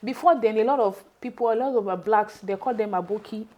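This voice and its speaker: A woman's voice: mid-range voice, brisk, mellow. A Nigerian woman whose first language is Yoruba, telling her own story in a loose, conversational way with many fillers and restarts.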